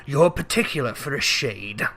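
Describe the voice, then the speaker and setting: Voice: medium pitch, lively intonation, moderate pace, vocal fry, a bit bright, pitched below the performer's own voice. A storyteller playing a cold, skeptical old miser with a curt, caustic manner in a classic storybook tale. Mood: caustic, demanding.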